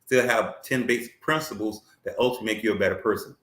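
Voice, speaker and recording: A man hosting a talk show, speaking haltingly and often repeating words as he searches for what to say. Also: lowish voice, fairly even intonation, a bit bright, reverberant. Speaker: host.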